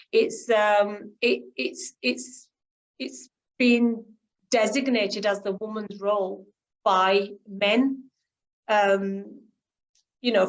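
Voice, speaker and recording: A woman's voice: mid-range voice, rough recording. Middle aged woman on a radio talk show, earnest, kinda stop-start, repeats words as she explains stuff. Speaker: guest author.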